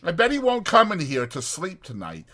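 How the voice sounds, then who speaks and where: A man voicing an exasperated but protective ranch hand in a dramatized audiobook, blunt and scolding, with a rough folksy drawl. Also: fairly high pitch, wildly swooping pitch, moderate pace, sharp tone, pitched above the performer's own voice, full character voice. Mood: scolding, firm. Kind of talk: dramatized audiobook character acting.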